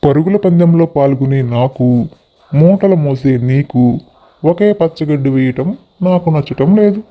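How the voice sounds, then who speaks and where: A man voicing a proud, boastful racehorse in a scripted animated moral story, speaking in a haughty, lecturing way. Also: fairly high pitch, unhurried, narrow, tinny audio. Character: proud, boastful male racehorse who looks down on others.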